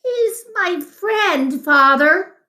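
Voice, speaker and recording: Woman playing an earnest, high-voiced young knight. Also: animated, extremely slow, pushed and bright, heavily acted. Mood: pleading. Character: kindly, bookish young male knight, encouraging.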